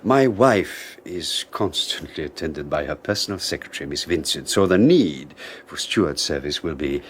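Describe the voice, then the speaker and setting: An irritable, formal young husband. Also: male voice, a bass voice, expressive, moderate pace, a little hiss or hum. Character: young husband, resentful and clipped. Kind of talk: 1980s radio drama acting.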